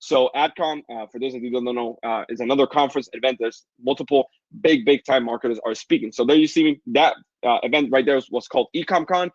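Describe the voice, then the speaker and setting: A man's voice: mid-range voice, quick pace, no echo. A young man with a Dominican Spanish accent, a native Spanish speaker, coaching a live webinar in a pushy, hyped sales patter full of fillers. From Dominican Republic. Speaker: online marketing coach. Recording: dull lo-fi dry sound.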